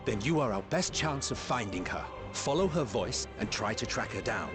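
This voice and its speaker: An actor playing a confident, theatrical man of an ancient people in a fantasy game, with formal, expressive phrasing. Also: medium pitch, moderate pace, music in the background, lightly acted. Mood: resolute. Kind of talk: dramatic video game acting.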